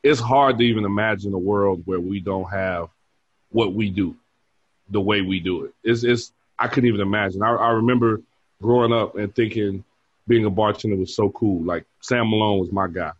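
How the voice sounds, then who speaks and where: A man in his forties talks easily as a podcast guest, with a low, husky voice. He laughs often while telling stories. The sound is dry and a little dull, like a modest remote mic. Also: normal speed, dry recording. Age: 40s. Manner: easygoing storyteller who laughs often. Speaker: bar manager and podcast guest. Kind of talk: casual interview chat.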